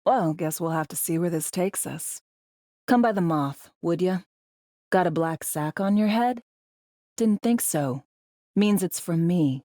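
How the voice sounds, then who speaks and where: A video game secret agent, female, tough and jaded. The voice sits on the low side and is recorded dry and close. The delivery is blunt, slangy and sardonic, with a world-weary edge. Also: animated, a little slow, dark tone, dry recording. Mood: subdued, reflective. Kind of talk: video game character dialogue.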